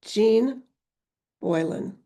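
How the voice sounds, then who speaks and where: A woman giving formal, prepared remarks as a ceremony presenter, with measured delivery. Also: fairly high pitch, lively intonation, dark tone. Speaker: center director and award presenter.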